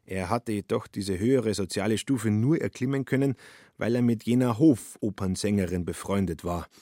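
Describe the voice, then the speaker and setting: A man narrating a literary radio drama in a measured, wry storytelling manner. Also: lowish voice, mellow, no echo, clean studio sound.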